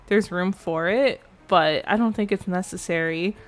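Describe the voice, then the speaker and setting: A woman's voice: fairly low pitch, a little slow. Chatty young woman, slightly nasal. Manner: chatty, rambling, self-correcting. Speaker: game build creator. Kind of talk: casual voice-over commentary. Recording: faint background music.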